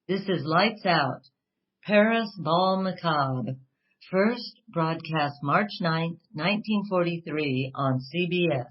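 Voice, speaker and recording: Male show announcer, fairly high voice, scripted intro read, slightly dull lo-fi sound. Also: animated, takes it slowly. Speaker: radio program announcer. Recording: dull, lo-fi audio.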